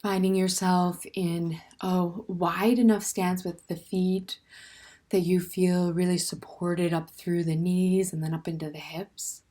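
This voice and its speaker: A woman leading a guided standing meditation in a gentle, unhurried way, with soft, breathy phrase endings and plenty of pauses. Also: on the low side, little pitch movement. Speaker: meditation teacher.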